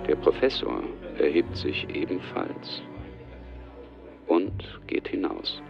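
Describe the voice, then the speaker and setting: A man's voice: deep voice, sing-song, takes it slowly, creaky, muffled recording, music in the background. A man reading scripted scene narration in a radio play.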